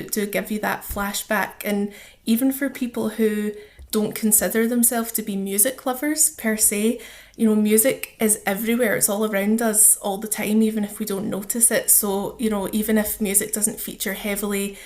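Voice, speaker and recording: A woman's voice: mid-range voice, fairly even intonation, normal speed, a little mellow. A woman with a Scottish accent speaking as an interview guest, explaining things informally with examples. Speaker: charity communities officer, interview guest.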